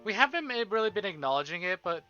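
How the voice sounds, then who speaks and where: Bright-voiced male streamer, highish pitch. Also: quick pace, dull audio. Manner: expressive, swearing, breaks into enthusiastic asides. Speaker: game streamer. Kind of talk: live reading of a visual novel with casual excited asides. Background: game music.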